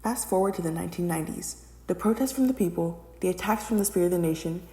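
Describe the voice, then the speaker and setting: A woman's voice: lowish voice, fast, warm tone. A young adult woman reading a scripted educational narration as the narrator, recorded in an echoey room.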